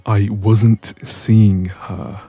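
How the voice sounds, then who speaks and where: A man playing a dominant, cocky young ex-boyfriend in an intimate audio roleplay, soft and breathy, smug and casual, close to the microphone. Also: fairly low pitch, flat delivery, drawn-out words, dry recording, soft background music. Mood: irritated, confrontational.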